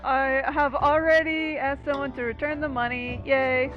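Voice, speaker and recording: A woman's voice: sits high, little pitch movement, normal speed, lo-fi sound. A woman giving casual, informal, reactive commentary over a game playthrough. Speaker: gaming video commentator. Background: game music.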